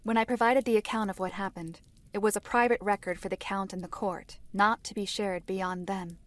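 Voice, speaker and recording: A woman playing a composed seer who recounts events in a fantasy audio drama, formal and measured. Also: medium pitch, steady tone, natural voice. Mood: guarded. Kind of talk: visual-novel audio drama storytelling.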